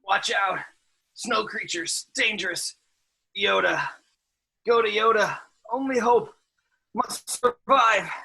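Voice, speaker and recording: A man's voice: high voice, slow, vocal fry, pauses often, sharp tone, slightly rough, exaggerated voice, rough recording. A man playing an injured young hero raving warnings in broken, urgent fragments at an amateur table read. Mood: frantic delirium.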